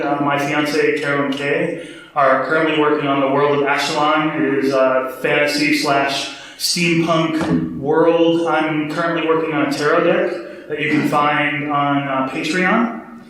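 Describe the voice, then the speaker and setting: A man speaks in a flat, even tone, a little higher than most, hesitant and low-key. He sounds far away in a very echoey hall. A faint noise runs beneath. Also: slow. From Chicago, US. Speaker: illustrator, panelist. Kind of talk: convention panel introduction. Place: large convention room. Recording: echoey room, far from the mic.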